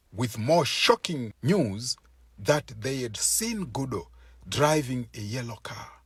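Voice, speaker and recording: Expressive, slow-paced male storyteller, husky voice. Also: mid-range voice, dry recording, studio quality. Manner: measured, deliberate, expressive storytelling delivery. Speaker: storyteller reading a written tale. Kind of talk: scripted narration of an animal folk tale.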